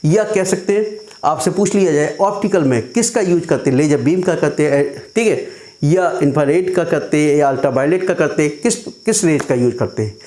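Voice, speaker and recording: A man teaching a computer class who reads out English definitions slowly and then explains them in Hindi. He keeps asking his students questions and answers them himself, and his throat is dry and hoarse. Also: a little high, darkish tone, echoey room. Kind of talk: classroom lecture for exam students.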